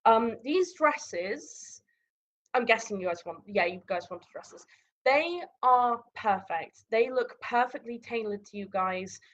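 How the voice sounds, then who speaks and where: A woman running a tabletop game as game master, narrating casually in halting, improvised, informal descriptions. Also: a little high. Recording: dull lo-fi audio.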